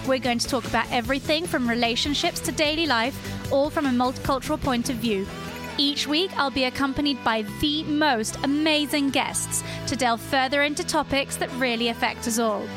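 A woman's voice: high-pitched, a little fast, music in the background. A woman hosting a podcast, introducing the show and interviewing a guest. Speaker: podcast host.

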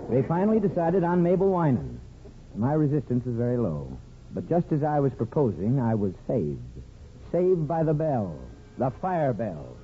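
A man's voice: mid-range voice, a little hiss or hum, natural voice. An actor playing a weary, plain-spoken small-town barber and father, rueful and wry, acting and narrating in a 1950s radio drama. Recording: phone-like old radio broadcast with music.

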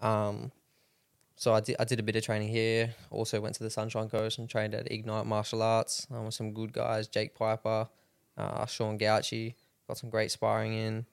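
A man's voice: fairly low pitch, completely flat delivery, moderate pace, creaky, smooth, dry recording, studio quality. A man speaking as a podcast guest in casual chat, laid-back and easygoing. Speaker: guest, MMA fighter.

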